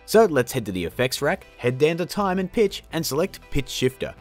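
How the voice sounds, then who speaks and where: A man in his thirties hosting a casual software tutorial in an informal, jokey and emphatic way. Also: fairly high pitch, sing-song, a little fast, over loud music. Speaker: video tutorial host.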